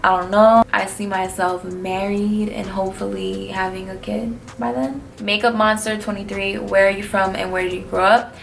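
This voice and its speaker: A woman's voice: mid-range voice, level tone, normal speed, creaky, hardly any pauses, slight background noise. A twenty-one-year-old woman from New City, answering viewer questions in a blunt, slangy, laid-back vlog. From New York City. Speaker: vlogger. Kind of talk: casual Q&A vlog.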